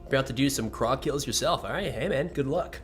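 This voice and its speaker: A man's voice: fairly quick, faint music behind. A man chatting casually on a live game stream, reading chat aloud and reacting to the game, close to a desk microphone in a small room. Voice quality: deep voice. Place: small room facing a wall.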